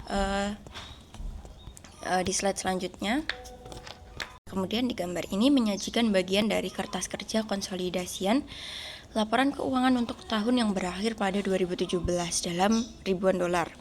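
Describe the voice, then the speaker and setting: A woman's voice: medium pitch, normal speed, long pauses. A young woman, a student presenter explaining material step by step. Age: young adult. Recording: faint background noise.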